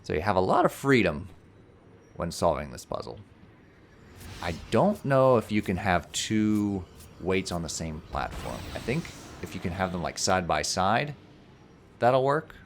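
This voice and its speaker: Low pitch, man, slightly hoarse, casual game commentary, step-by-step explaining, faint noise. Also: sing-song, unhurried. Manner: explains puzzles step by step, joking. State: a little hoarse. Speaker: let's play commentator.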